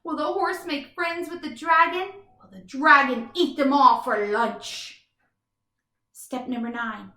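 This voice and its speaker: Woman teacher, high-pitched voice, expressive picture-book reading, emphatic and instructive, echoey room. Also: slow. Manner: animated, emphatic, instructive delivery for young children. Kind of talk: expressive children's read-aloud.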